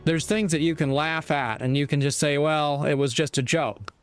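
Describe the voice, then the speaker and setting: A man giving reflective spoken commentary in an earnest, deliberate manner, pausing mid-sentence. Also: fairly high pitch, clean tone, no room sound, soft background music. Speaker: speaker giving moral commentary.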